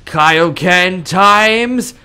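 A young man improvising in-character gameplay roleplay in a cocky, dramatic, excitable manner. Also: high voice, extremely slow, smooth. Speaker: gaming roleplay video creator.

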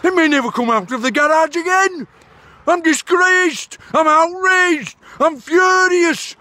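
A man's voice: very high voice, bright, forceful tone, full character voice. A man playing a blustering, outraged ranter who declaims indignantly and repeats himself. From Britain. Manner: indignant, repetitive, declaiming to the camera. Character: blustering outraged middle-aged male petrol-price ranter. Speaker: comic character vlogger. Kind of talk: character comedy rant.

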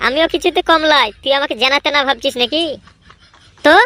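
A woman's voice: high-pitched, a little fast, sharp tone. A woman voicing a boastful young village lad in a comedy cartoon, with colloquial, argumentative speech. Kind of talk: Bengali comedy cartoon voice acting.

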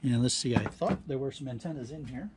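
Man, medium pitch, casual hands-on commentary, halting, thinking aloud, workbench, background noise with clicks. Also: animated. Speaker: host of a scrapping how-to channel.

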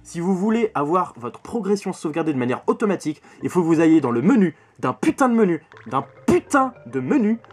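A man reviews games in a casual vlog style. His voice sits somewhat high, and he is blunt and emphatic, doubling up words and swearing. Soft music plays faintly behind him. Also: big pitch swings, fairly quick, hardly any pauses. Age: 20s. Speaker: video game collector and reviewer. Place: small bedroom at home, indoors.

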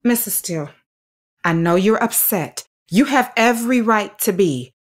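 An actress playing an earnest, firm schoolteacher in an audio drama, measured and direct, pleading but principled. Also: female voice, mid-range voice, animated. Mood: anxious, placating. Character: earnest, firm, dedicated woman elementary school teacher. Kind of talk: naturalistic audio drama acting.

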